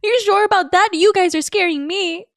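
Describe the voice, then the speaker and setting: A woman live-streaming her excitable, emotional reaction to a game, exclaiming and casually swearing. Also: extremely high pitch, normal speed, dry recording, studio quality. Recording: game music behind. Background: game music.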